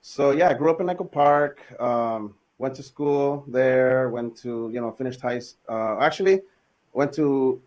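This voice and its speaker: Laid-back LA man in his thirties, podcast guest, chatty and loose, mid-pitched. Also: a little slow, highs cut off. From Los Angeles. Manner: relaxed, laid-back, frequent fillers. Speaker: podcast guest, business owner. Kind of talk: casual conversational storytelling.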